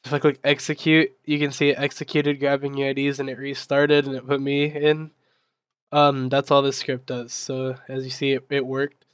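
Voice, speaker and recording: A young man, his voice still weak from being sick, walks through a software tutorial in a casual way, full of ums and restarts. His delivery stays very flat and monotone, and the sound is smooth and clear. The recording is dry, close, and without room echo. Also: mid-range voice, moderate pace. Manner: casual, filler-heavy explaining with restarts. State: recovering from losing his voice. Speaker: server admin giving a tutorial.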